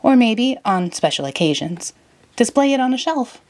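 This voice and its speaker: A woman's voice: fairly high pitch, sing-song, moderate pace, darkish tone, pitched above the performer's own voice. A woman voicing an eager, earnest, cheerful male cartoon sea sponge for a storybook. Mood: excited. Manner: eager, exclamatory, fussy.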